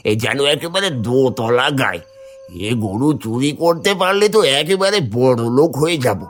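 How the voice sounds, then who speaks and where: A man's voice: mid-range voice, animated, normal speed, slight background noise, natural voice. A man voicing a brash, sly, greedy village man in a cartoon story. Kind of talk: Bengali cartoon story voice acting.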